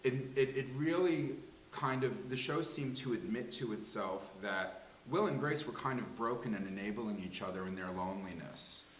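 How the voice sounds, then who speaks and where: Deep-ish guy on a panel, wordy and emphatic, echoey room w/ some hiss. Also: expressive, moderate pace. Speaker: panel guest. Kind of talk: opinionated panel discussion. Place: live event room with a small audience.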